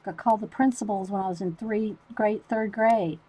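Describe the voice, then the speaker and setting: A woman with a loud voice, chatting casually to livestream viewers while she shows a coloring technique. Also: mid-range voice, fairly even intonation, moderate pace, breathy edge, low-quality recording. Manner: rambling, talks to chat viewers by name.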